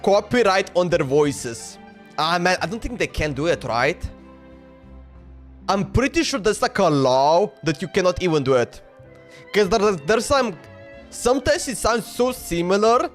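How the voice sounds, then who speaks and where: A man's voice: high voice, sing-song, a little slow. A man live streaming a game with casual, rambling, informal commentary that often repeats itself. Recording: faint game music behind.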